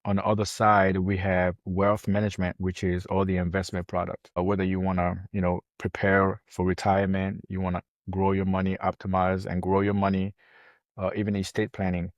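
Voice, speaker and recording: A man's voice: low-pitched, completely flat delivery, a little fast, dry recording. A man from France, answering conversationally as a financial planner on a podcast.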